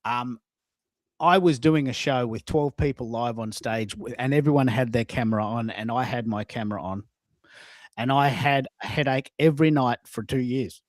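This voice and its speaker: A man's voice: mid-range voice, moderate pace, dry recording. Australian man, emphatic and dry-sounding recording. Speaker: host of a live online audio show. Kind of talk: casual chat.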